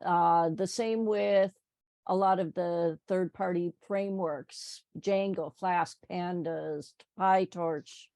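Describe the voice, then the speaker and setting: A woman, a book co-author, talking slowly in casual conversation. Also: on the low side, steady tone, darkish tone, dry recording. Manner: slow, with frequent affirming "yeah" and "right". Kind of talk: conversational talk.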